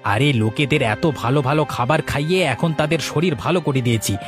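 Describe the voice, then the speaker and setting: A man's voice: mid-range voice, fairly quick, over music, natural voice. A man voicing a proud, stubborn village shopkeeper in a cartoon, firm and admonishing. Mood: stubbornly reassuring. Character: proud, stubborn male village kochuri shopkeeper, principled and patient. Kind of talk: cartoon voice acting.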